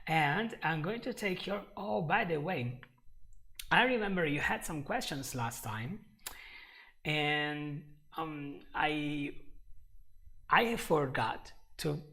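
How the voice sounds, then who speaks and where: A man's voice: fairly high pitch, expressive, takes it slowly, pauses often, pushed and bright. A man with an Italian accent teaching English on a live stream, patient and repeating himself as he explains.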